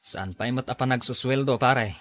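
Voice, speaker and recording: An actor playing a surly, jealous, hard-drinking husband in a Filipino radio drama, with a Filipino accent. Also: male voice, mid-range voice, normal speed, a bit bright, dry recording, natural voice. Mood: sullen, jealous.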